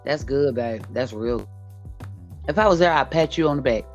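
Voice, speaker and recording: Woman in her late 40s, low voice, blunt emphatic casual chat, dull lo-fi recording, faint music behind. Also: lively intonation, normal speed. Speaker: podcast host. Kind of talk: casual conversation.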